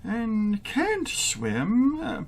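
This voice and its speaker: A woman's voice: fairly high pitch, wildly swooping pitch, very slow, gravelly, full character voice. A woman in a radio play acting as a dithering, easily amused King who stammers and hesitates. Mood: muddled, musing.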